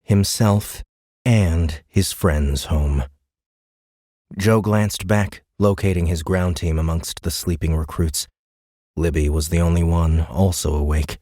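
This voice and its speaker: A man's voice: sits low, steady tone, takes it slowly, warm tone, no room sound, studio quality. A man reading scripted narration of a science fiction novel as an audiobook narrator. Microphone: close studio microphone.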